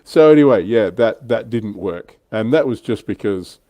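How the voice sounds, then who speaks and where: A man with a low, mellow voice talks through history like a livestream host. His delivery is informal and keen, recorded close and dry. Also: lively intonation, moderate pace, no room sound. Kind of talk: casual explanatory history chat.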